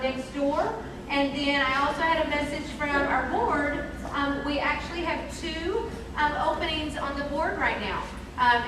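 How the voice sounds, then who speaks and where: A woman welcomes parents to a school meeting in an upbeat, folksy way. Her voice is high. It comes from far off in a very echoey room with a long tail and noise behind her. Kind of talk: brief welcome speech. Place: school classroom or hall. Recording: very echoey, distant, noisy room.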